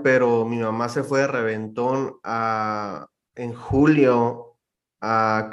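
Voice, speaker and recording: A man with a northern Mexican accent, a podcast guest telling stories in a slangy, laid-back casual chat. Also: medium pitch.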